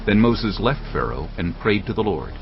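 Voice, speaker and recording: A man narrates scripture in a deep, grave voice with expressive rises and falls. The audio is a bit muffled, and music plays steadily underneath. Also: normal speed, slight background noise.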